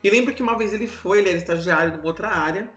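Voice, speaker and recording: A man's voice: sits high, rapid-fire, reverberant, dull audio, faint music behind. A man with a Brazilian accent chatting casually and expressively as a podcast host, telling stories. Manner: expressive, animated. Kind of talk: casual chat, storytelling.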